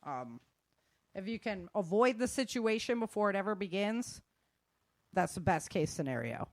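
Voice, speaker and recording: A woman's voice: medium pitch, sing-song, normal speed, no room sound. Casual female host, dry close sound. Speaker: host of a self-defense and survival show. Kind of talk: casual spoken advice to listeners.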